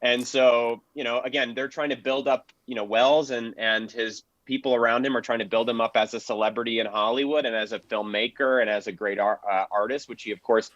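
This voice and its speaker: A man gives panel commentary in a medium-pitched voice, explaining things with enthusiasm. The recording is dry and somewhat dull, lacking fidelity. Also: steady tone, a little fast, hardly any pauses, a bit bright, dry recording. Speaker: panelist, film and radio historian.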